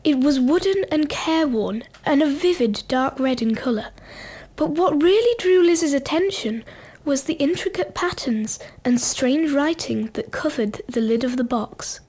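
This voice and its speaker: A woman's voice: sits high, expressive, a little slow, darkish tone. A woman narrator reading scripted children's radio play narration in a storytelling style.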